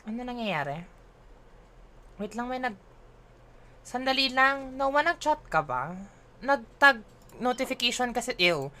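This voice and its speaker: A woman's voice: on the high side, big pitch swings, a little slow, pauses often, pushed and bright. A woman with a Filipino accent streaming a game, chatting playfully in a mix of English and Filipino and teasing herself. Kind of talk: casual livestream chat while gaming.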